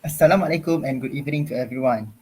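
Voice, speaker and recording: A man's voice: mid-range voice, rapid-fire, darkish tone, husky. A young man from Malaysia moderating an online forum, speaking in a formal, ceremonious way over a video call.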